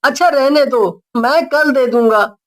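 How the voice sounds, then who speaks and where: A woman's voice: sits high, a little slow. A woman voicing an earnest, polite young schoolboy in a cartoon story. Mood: hesitant. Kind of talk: Hindi cartoon story character voice.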